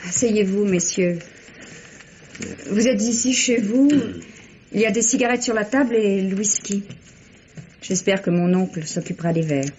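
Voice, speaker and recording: A composed, gracious lady voiced by an actress speaks politely at a middling pitch in an old French radio mystery. Her diction is poised. The sound is muffled, with a faint layer of noise. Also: normal speed, long pauses, a little mellow, natural voice. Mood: courteous. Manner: polite, poised, well-spoken. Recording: dull vintage radio recording, faint hiss.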